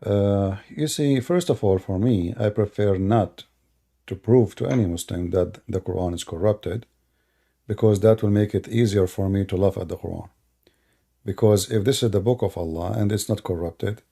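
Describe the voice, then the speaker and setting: Gravelly Arabic-accented man, dark voice. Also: on the low side. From Middle East. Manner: gravelly, dismissive, laughs often. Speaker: host. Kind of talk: live stream chat.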